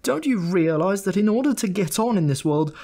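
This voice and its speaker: Man putting on a shrill, scolding mother. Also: lively intonation, a little fast, dark tone. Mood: exasperated, preachy. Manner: pompous, exasperated, preachy. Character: angry, lecturing, self-righteous mother of a teenage boy.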